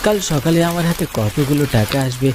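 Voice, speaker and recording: A man's voice: fairly high pitch, expressive, a little fast, natural voice. A man playing an earnest, kindly village yogurt seller who narrates his own cartoon story in a suspenseful storytelling manner. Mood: earnest, expectant. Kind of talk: first-person cartoon story narration with dialogue. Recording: loud rain and thunder noise.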